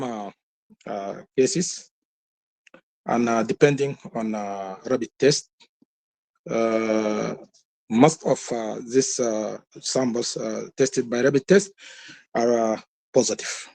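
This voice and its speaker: A man with a Sudanese accent, a health worker speaking on a webinar, giving a halting, repetitive report that leads into questions. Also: mid-range voice, creaky, darkish tone. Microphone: webinar call.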